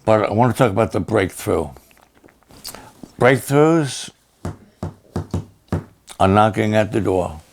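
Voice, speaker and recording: An older male pastor with a raspy, hoarse voice, talking plainly and haltingly to his supporters. He often pauses and repeats himself. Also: on the low side, animated, slight background noise. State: hoarse after illness and a long program. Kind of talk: slow personal update to supporters.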